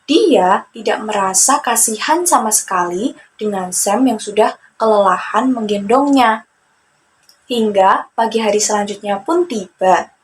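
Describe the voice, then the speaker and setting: Young female storyteller for kids' fable, slow delivery, slightly high, faint background noise. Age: young adult. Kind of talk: children's fable narration, addressing listeners.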